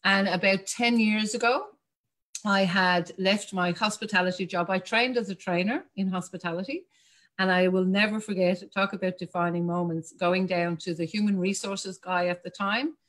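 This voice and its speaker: A woman's voice: medium pitch, fairly even intonation, moderate pace, a bit bright. A woman in her forties with an Irish accent, telling her story as a guest on a video call, chatty and laughing often. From Ireland. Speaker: guest, communication specialist. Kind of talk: casual video-call interview. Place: home room.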